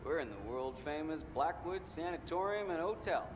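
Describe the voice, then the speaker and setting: An actor playing a young American hospital orderly in a video game, breezy, cocky and full of slangy, wry patter. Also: male voice, a little high, animated, moderate pace, noisy background, close to an everyday voice. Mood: wry, joking. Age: young adult. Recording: echoing hall reverb under loud music.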